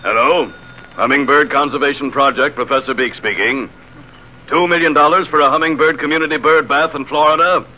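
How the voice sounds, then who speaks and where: An actor playing a curt, dismissive professor-bureaucrat in a 1930s radio comedy. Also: male voice, on the high side, steady tone, bright, forceful tone. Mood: brusque. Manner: brisk, curt. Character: brusque, dismissive professor-bureaucrat handing out government money. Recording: slightly noisy old radio broadcast.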